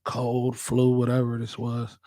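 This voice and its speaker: Subdued American man, flat-toned livestream host. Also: mid-range voice, unhurried, dry recording. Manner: laid-back, slangy, repeats phrases. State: hoarse, recovering from a cold. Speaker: livestream host, working nurse. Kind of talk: casual livestream chat.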